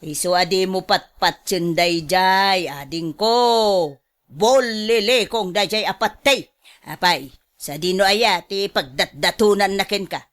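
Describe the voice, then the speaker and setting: Slow-paced scolding older woman played by an actress. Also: mid-range voice, lightly acted. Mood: warning, scolding. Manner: admonishing, emphatic, gossipy. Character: nosy, scolding older sister and mother with pension. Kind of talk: radio drama acting.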